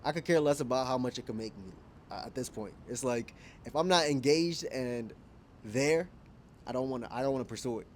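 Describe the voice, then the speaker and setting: A man's voice: medium pitch, a little fast, slight background noise. Candid young man musing aloud outdoors. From Kenya. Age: 20s. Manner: candid, musing, laughs at himself. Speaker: vlogger. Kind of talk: casual reflective monologue. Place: outdoors at dusk.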